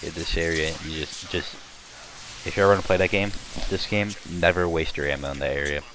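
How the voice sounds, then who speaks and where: A young man with a slightly raspy voice, chatting casually and rambling while commenting on his gameplay. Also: low-pitched, brisk, fairly bright tone, slight background noise, poor audio. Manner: rambling, chatty, many restarts and fillers. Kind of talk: casual let's-play chat.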